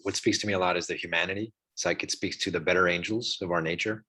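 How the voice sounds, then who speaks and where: A man speaking as a cast member on a panel, casual and reflective, rephrasing haltingly as he goes. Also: low voice, steady tone, pushed and bright, breathy edge.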